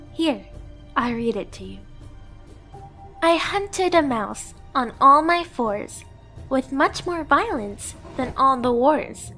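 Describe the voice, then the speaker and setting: A very high, girlish catgirl played by a woman speaks slowly in an anime ASMR roleplay. She is clingy, timid and devoted, with an anxious, possessive streak, and music plays clearly behind her. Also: fairly bright tone, exaggerated voice. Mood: relieved, eager, then theatrical reciting. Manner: timid, hesitant, breathless pleading to the listener.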